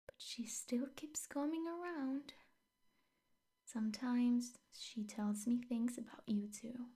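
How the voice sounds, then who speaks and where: A young woman playing a possessive, sweetly menacing teacher girlfriend in an ASMR roleplay, speaking softly, intimately and often in whispers. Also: on the high side. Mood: jealous, scornful. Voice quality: soft, breathy, often whispered. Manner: slow, intimate, coaxing with veiled threats.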